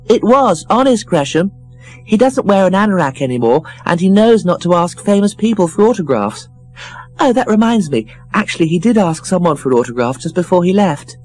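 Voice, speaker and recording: Male reader doing a squealing, starstruck party guest, very high and loud. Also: big pitch swings, brisk, soft background music, poor audio. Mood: gushing. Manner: shrill and overexcited. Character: gushing shrill woman, star-struck and pushy.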